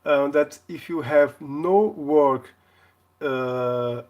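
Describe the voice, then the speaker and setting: A man from Portugal, chatting casually on a live stream in a jokey way, often halting to search for words. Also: a little high, highs cut off. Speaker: live streamer, console repair video maker.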